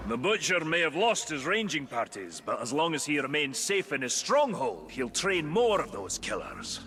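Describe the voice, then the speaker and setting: A man's voice: fairly high pitch, sing-song, moderate pace. A man playing a boisterous, wisecracking dwarf hunter in a video game, with a hearty, playful, theatrical delivery. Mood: scheming. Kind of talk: video game character acting. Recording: loud game ambience noise behind.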